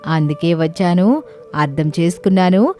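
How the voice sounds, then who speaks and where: A woman voicing a kindly, grateful magic talking coconut in an animated story, respectful in manner, with music behind. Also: lowish voice, moderate pace, natural voice. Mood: kindly, sympathetic. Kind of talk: acted dialogue in animated fantasy story. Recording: music under the voice.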